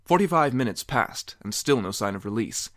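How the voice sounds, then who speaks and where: A man's voice: mid-range voice, animated, normal speed, dry recording, studio quality. A man narrating comedic fan fiction in a mock-serious, theatrical way with wry asides. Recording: music behind the voice. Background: music.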